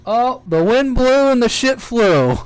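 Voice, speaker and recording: A man's voice: very high voice, expressive, slow, slight background noise, rough recording. A man hosting a casual live stream, chatting and telling stories in a jokey, rambling way. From United States. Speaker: CB radio live stream host. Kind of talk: casual chat.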